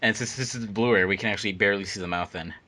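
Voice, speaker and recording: A man's voice: lowish voice, rapid-fire, pushed and bright. A man who edited a fan dub, chatting casually and joking about syncing mouths. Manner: laughs often, joking. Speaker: fandub video editor. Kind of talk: casual group commentary.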